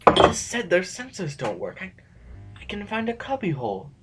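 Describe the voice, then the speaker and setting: A man's voice: sits high, wildly swooping pitch, normal speed, a bit bright, faint music behind, heavily acted. A man acting as a reluctant, argumentative intellectual rebel in an audio drama, hesitant and wordy, anxious to survive. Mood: pleading, argumentative.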